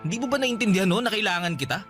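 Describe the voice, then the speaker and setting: Bright, high, bristling Filipino younger brother. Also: male voice, lively intonation, rapid-fire, faint music behind. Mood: hurt, bitter. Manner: sarcastic, bristling, wounded. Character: resentful, defensive younger brother hiding his secret. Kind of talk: Filipino radio drama acting.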